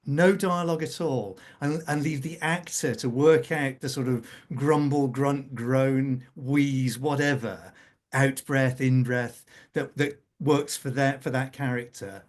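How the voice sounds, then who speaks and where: A man speaking as a scriptwriter on a panel, explaining things conversationally with halting, repeated phrases. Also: mid-range voice. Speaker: radio drama scriptwriter, panel guest.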